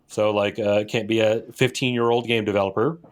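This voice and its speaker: A man's voice: on the low side, level tone, a little fast. A man with an American accent in casual podcast discussion, explaining with emphasis and rhetorical questions. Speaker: podcast co-host.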